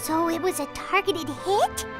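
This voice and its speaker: A woman voicing a tiny, childlike fairy companion in a video game, squeaky, perky, curious and exclamatory. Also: unhurried. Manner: exclamatory, inquisitive. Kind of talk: video game character acting. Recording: music behind the voice.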